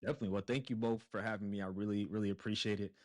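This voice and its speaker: A man's voice: fairly low pitch, fairly even intonation, very fast, a little mellow. A man from Brooklyn, a podcast guest telling a story in a casual, conversational way with some fillers and restarts. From Brooklyn, New York. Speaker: podcast guest, real estate investor. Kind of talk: casual interview chat.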